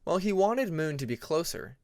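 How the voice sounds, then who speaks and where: A man's voice: a little high, big pitch swings, fairly quick, a little mellow, no room sound, studio quality. A man reading a scripted story as an audiobook narrator.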